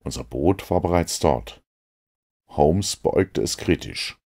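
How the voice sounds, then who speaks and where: A man reading a literary story aloud in a measured, deliberate way as an audiobook narrator. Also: sits low, lively intonation, darkish tone. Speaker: audiobook reader.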